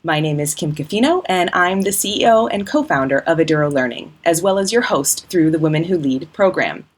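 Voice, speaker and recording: A woman host reading a scripted outro for an education program in a smooth, promotional way. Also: fairly low pitch, animated, brisk. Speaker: host and company founder.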